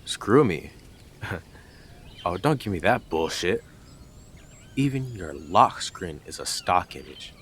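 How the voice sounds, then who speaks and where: A man acting a deep-voiced, smug yandere intruder. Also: a bit bright, slight background noise, heavily acted. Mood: scornful, accusing. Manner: blunt, taunting, sarcastic, with dramatic emphasis and scoffs. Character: blunt, smug, obsessive young male yandere neighbour, mocking intruder. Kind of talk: scripted audio roleplay addressed to listener.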